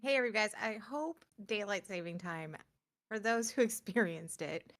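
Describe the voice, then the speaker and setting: A woman's voice: mid-range voice, moderate pace, fairly bright tone. A woman co-hosting a gaming news show in casual, chatty, loosely rambling talk. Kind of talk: casual chat.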